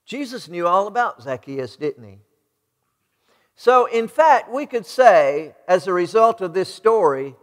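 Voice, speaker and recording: A middle-aged American man preaching a sermon, emphatic, putting rhetorical questions to his congregation. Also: high voice. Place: large church sanctuary, indoors. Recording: reverberant church hall.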